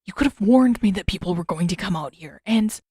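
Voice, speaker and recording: A woman's voice: medium pitch, expressive, fairly quick, mellow, slight background noise, lightly acted. Woman reading as a prickly, defensive teenage boy. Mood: harsh whisper. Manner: harsh, grumbling.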